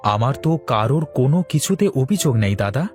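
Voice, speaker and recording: A man's voice: mid-range voice, lively intonation, fairly quick, a little mellow, soft background music, lightly acted. A man playing an earnest, polite wandering ascetic devoted to helping villagers in a cartoon moral story. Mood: earnest, kindly. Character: earnest young wandering male ascetic disciple serving villagers. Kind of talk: Bengali cartoon dialogue.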